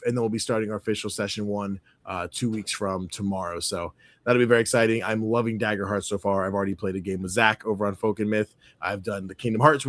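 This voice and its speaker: A man with a somewhat low voice signs off an actual play show, relaxed and full of "uh"s as he lists upcoming projects. The sound is dry and close, with no room echo. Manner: relaxed, filler-heavy plugging. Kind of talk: casual show sign-off.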